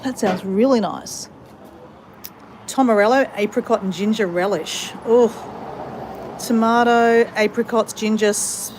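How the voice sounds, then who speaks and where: A woman's voice: a little high, noisy background. An Australian woman chatting to her viewers while she walks around outdoors in a country town and along a creek. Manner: chatty, talks straight to viewers as "guys". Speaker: vlogger. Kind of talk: casual walking vlog.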